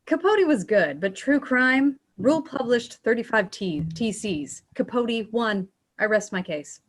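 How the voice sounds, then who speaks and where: A woman's voice: a little high, lively intonation, unhurried. An actress reading an opinionated lawyer in her fifties at a screenplay table read. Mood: insistent.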